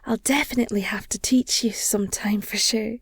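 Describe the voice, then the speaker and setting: A woman playing an affectionate, nerdy werewolf girlfriend in an intimate audio roleplay, soft and breathy, often near a whisper, speaking tenderly. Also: mid-range voice, steady tone, dry recording, clean studio sound. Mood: wistful, affectionate. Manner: gushing, tender, hesitant with pauses and sighs.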